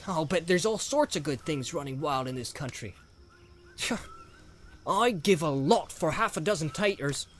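A man's voice: a little high, lively intonation, measured pace, faint music behind, voice pushed up. A man voicing a plain-spoken, stubborn young hobbit servant in an audiobook. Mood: growling, threatening. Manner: folksy, scolding, practical. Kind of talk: dramatised audiobook character voice. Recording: clear outdoor ambience noise behind.

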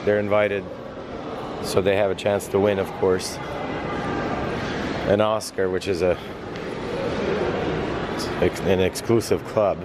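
A man's voice: deep voice, little pitch movement, takes it slowly, pauses often, very noisy. A man hosting a casual walking-tour vlog outdoors, pointing out sights in a loose, rambling way with small self-corrections. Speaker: vlog host, tour guide. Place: outdoors on a city boulevard.

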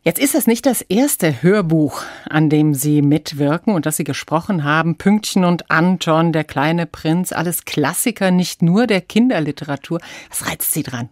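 A woman with a fairly low voice works as a radio interviewer. She introduces and questions in a composed, conversational manner. The recording is close and dry, as in a studio. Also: lively intonation, normal speed, few pauses, darkish tone, no echo.

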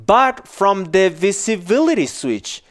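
A man in his forties giving an informal software tutorial, explaining casually step by step into a headset microphone in a small office. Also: high-pitched, sing-song, slow. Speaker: animation tutorial instructor.

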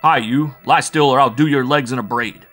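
A man's voice: mid-range voice, lively intonation, fairly quick, soft background music, voice pushed up, lightly acted. A man voicing a big, hot-headed, blustering spaceman in a story reading, blunt and boisterous. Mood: rough impatience. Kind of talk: audiobook character voice.

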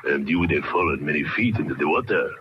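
An actor playing a calm, eerie Frenchman with a French accent, courteous and deliberate, in the style of old radio horror. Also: extremely low pitch. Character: calm, eerie Frenchman lurking in the Paris sewers. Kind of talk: 1940s radio horror acting. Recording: muffled, noisy old radio recording.

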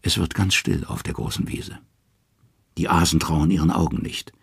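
A man narrating a mythic tale in dramatic, scripted storytelling style with a gravelly, husky voice. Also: deep voice, moderate pace, no room sound.

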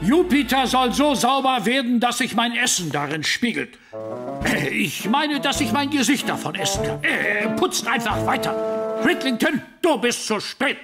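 High-pitched blustering old fire chief. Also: male voice, very animated, normal speed, music in the background, exaggerated voice. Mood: grumpy, bossy. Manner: commanding, grumbling. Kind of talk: animated series dub acting.

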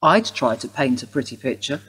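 A woman's voice: a bass voice, expressive, moderate pace, fairly bright tone, husky, full character voice, rough recording. An actress playing a sceptical, pointed policewoman in a radio play. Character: sceptical, hard-nosed policewoman questioning a suspect. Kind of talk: radio drama dialogue.

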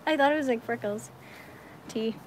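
Young American female livestreamer, high voice, bubbly casual chat, phone recording with barn noise behind. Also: moderate pace. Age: young adult. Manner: bubbly, excitable, slangy. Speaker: livestreaming vlogger. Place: metal-walled horse stall in a barn. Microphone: phone at arm's length. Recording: noisy barn ambience, handheld phone.

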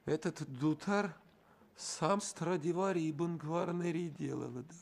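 Creaky, chatty cartoon merchant. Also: male voice, on the high side, a little slow. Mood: chummy, gloomy. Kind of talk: animated film acting.

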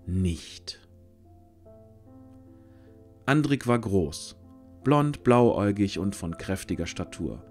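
A man narrating a scripted fantasy audio drama, using dramatic pauses. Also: sits low, lively intonation, darkish tone. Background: music.

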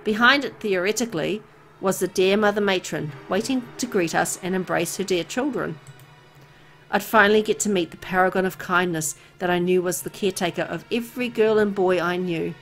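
A woman's voice: fairly low pitch, fairly quick, fairly bright tone, faint music behind, low-quality recording. A woman reading a horror visual novel aloud as dramatic story narration.

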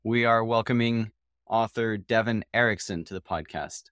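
A man hosting a podcast in a casual interview style, halting and now and then stuttering. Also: fairly low pitch, a bit bright, clean tone, dry recording, clean studio sound. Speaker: podcast host.

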